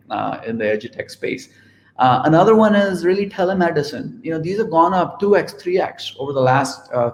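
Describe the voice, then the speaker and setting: A man speaks on a video call panel in an easy, talky way, dropping "you know" and "right?" between points. His voice sounds roomy, with a clear echo around it. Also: a little high. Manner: relaxed, conversational, frequent "right?" and "you know". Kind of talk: online panel discussion.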